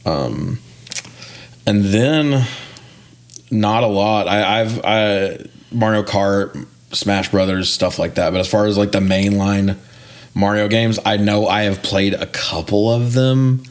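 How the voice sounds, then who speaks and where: Slangy man with a lowish voice. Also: slow pace. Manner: slangy, mildly profane, joking. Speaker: podcast co-host. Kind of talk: casual movie podcast chat.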